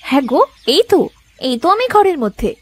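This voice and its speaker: A woman voicing a sharp-tongued but caring village wife in a cartoon, with expressive domestic-drama delivery. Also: extremely high pitch, brisk, no room sound. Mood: caring, friendly. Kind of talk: Bengali moral-story cartoon dubbing.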